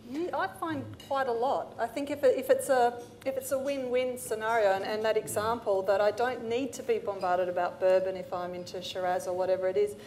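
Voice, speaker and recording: A woman, speaking thoughtfully on a panel and pausing to find her words. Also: fairly high pitch, fairly even intonation, a little mellow, a little hiss or hum. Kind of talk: panel discussion. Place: event studio with branded backdrop. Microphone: lapel mic.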